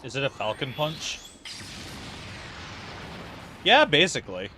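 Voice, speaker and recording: Animated, bright-voiced male game streamer. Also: medium pitch, takes it slowly, slight background noise. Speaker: game livestreamer. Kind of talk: casual live reaction commentary.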